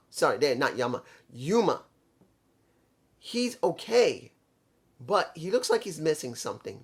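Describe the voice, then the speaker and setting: A man's voice: on the high side, fairly bright tone, slightly rough. A man giving a casual solo review of a wrestling show, rambling and correcting himself as he stumbles over names.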